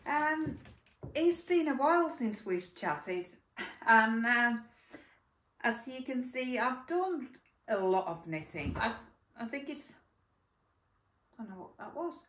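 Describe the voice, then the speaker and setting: A woman's voice: on the high side, sing-song, slow pace, long pauses, fairly bright tone, recorded from a distance, a little hiss or hum. A middle-aged woman from Finland, chatting casually as a podcast host at home, rambling with many fillers. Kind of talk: casual chat. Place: home room by a yarn cupboard. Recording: echoey room, voice set back from mic.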